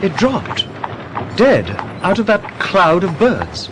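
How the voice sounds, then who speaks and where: A man playing an earnest, shaken witness to strange horrors in a radio drama, speaking in halting, dramatic phrases. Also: high voice, wildly swooping pitch, background noise, exaggerated voice. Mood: awed, grim.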